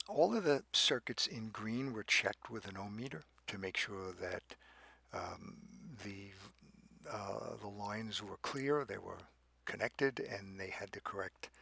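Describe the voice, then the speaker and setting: A man explains repair work step by step in an unscripted, methodical way. His delivery is slow and halting, broken into short phrases, with a creaky, gravelly edge. The sound is very dry and close, with little room in it. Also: lowish voice, bright, forceful tone, dry recording. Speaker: radio restorer and presenter. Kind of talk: unscripted how-to narration of a repair.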